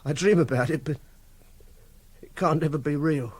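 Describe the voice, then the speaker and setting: An actor playing a repressed, socially awkward young captor in a radio drama, halting and defensive. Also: male voice, fairly high pitch, a little mellow, breathy edge, no room sound. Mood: halting, ashamed. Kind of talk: radio drama dialogue and confessional narration.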